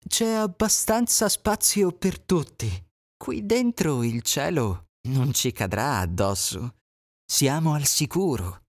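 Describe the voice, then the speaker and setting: A narrator putting on a cunning storybook fox lady, all smooth and coaxing, with a voice that slides up and down and sounds friendly enough to trust. She lingers over her invitations in an unhurried way. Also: mid-range voice, sing-song, pitched above the performer's own voice, heavily acted. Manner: coaxing, falsely reassuring. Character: sly, smooth-talking female fox.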